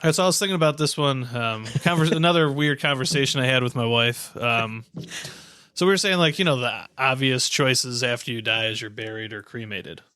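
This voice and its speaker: A male podcast co-host with a mid-pitched voice and a dry, close sound. He talks casually, with a playful, teasing streak. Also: a little fast, pushed and bright, clean tone, no echo. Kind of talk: casual chat.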